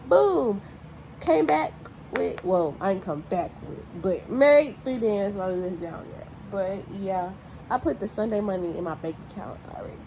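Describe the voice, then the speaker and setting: A young woman talks straight to her viewers in a loose, blunt, slangy way, tallying figures aloud as she goes. Her voice sits at an ordinary middle pitch. A faint hiss of background noise runs under the recording. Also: lively intonation, normal speed. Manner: counting money aloud, chatty and blunt with slang. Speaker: vlogger. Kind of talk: casual vlog talk to camera.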